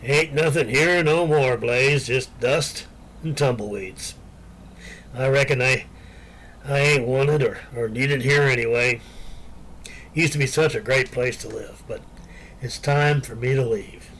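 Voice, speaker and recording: An actor playing a weary older Old West sheriff in a western radio play, with an American accent and folksy drawl. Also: male voice, medium pitch, unhurried, fairly bright tone, a little hiss or hum, close to an everyday voice. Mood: weary, regretful. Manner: folksy, drawling cowboy speech.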